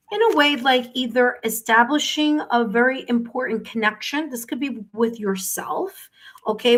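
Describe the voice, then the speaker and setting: A woman's voice: on the high side, a bit bright, poor audio. A woman hosting a live tarot reading, talking to her viewers in a chatty way and often checking in with "okay?". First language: English, with Spanish as second language. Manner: chatty, repeats herself, tags sentences with "okay?". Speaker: tarot reader and live-stream host.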